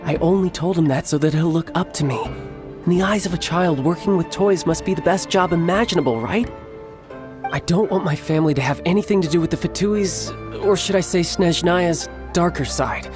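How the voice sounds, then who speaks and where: A man voicing a cocky, playful young warrior and doting big brother in a video game, smooth-talking and theatrical. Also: a little high, brisk, close to an everyday voice. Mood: courteous, earnest. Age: young adult. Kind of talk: video game character acting. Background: music.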